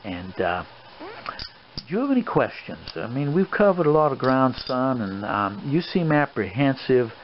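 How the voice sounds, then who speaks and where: A man playing a stern, fatherly old colonel in an improvised role play, scolding a young man in a halting, rambling way. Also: medium pitch, big pitch swings, natural voice. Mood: firm, concerned. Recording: dull lo-fi recording with clear background noise.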